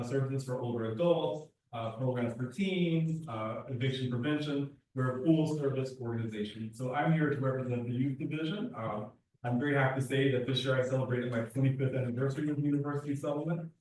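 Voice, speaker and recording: A man speaks at a community board meeting, earnest and quick, often restarting his sentences. His voice sits at an ordinary pitch. It sounds distant and echoey, picked up by a room mic across a large meeting hall. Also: a little mellow, a little hiss or hum. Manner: earnest, talks fast with restarts. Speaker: youth program director. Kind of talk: public meeting testimony.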